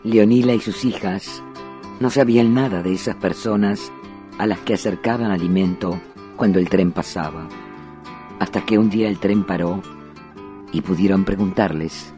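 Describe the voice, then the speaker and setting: A man narrating a documentary in a measured, solemn scripted delivery. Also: deep voice, dark tone, faint music behind.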